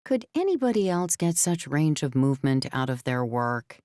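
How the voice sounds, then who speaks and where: A woman's voice: deep voice, very animated, moderate pace, a little mellow, dry recording, clean studio sound. A woman narrating scripted fiction as a storyteller in a full-cast audiobook. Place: dry recording studio.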